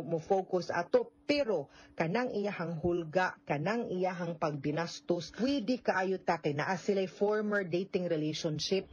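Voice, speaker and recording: A woman's voice: medium pitch, darkish tone, breathy edge, highs cut off. A woman with a Cebuano Filipino accent hosts a legal advice show, talking through listener letters conversationally and frankly, often adding tag questions. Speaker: radio legal advice host.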